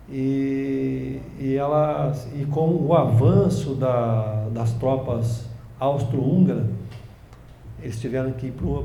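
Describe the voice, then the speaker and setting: A man in his sixties from Brazil, a journalist guest telling family stories in a relaxed interview, halting and restarting often. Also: medium pitch, creaky. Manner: rambling, halting storytelling with many restarts. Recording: echoey room, faint background noise.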